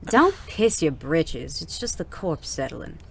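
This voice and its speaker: A woman's voice: low-pitched, big pitch swings, takes it slowly, gravelly, slightly rough, exaggerated voice. A woman playing a tough, teasing Wild West saloonkeeper in an audio drama, with folksy slang and a dry manner. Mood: wry teasing. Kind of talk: audio drama western dialogue.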